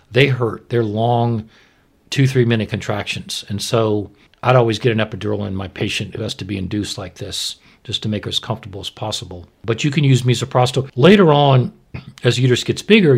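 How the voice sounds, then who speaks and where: A man's voice: lowish voice, creaky, breathy edge. A man speaking as a doctor, explaining medical practice in an informal, plain-spoken, instructive way. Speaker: obstetrician, expert guest.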